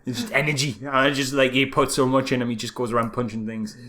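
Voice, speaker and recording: A man's voice: mid-range voice, level tone, quick pace, bright, forceful tone. A man co-hosting a casual comedic film discussion, rambling and sarcastic, slipping into mock quotes. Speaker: podcast co-host reviewing films.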